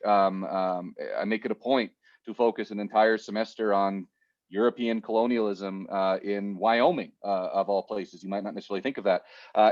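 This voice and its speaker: Lower-voiced guy, academic on a zoom panel, lots of uhs, muffled audio. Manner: hesitant, many uhs and restarts, measured. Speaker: university teacher and panelist. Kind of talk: unscripted panel remarks.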